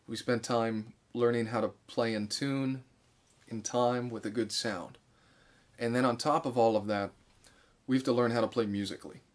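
Guy in his 30s teaching a music lesson, average pitch, deliberate explainer style. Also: fairly even intonation. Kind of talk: instructional talk to camera. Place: small bare room indoors.

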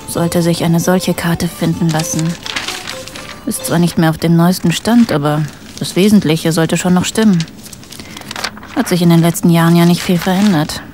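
An actress playing a guarded village shopkeeper in a German radio play, dry, curt and matter-of-fact. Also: female voice, lowish voice, lightly acted. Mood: dry, obliging.

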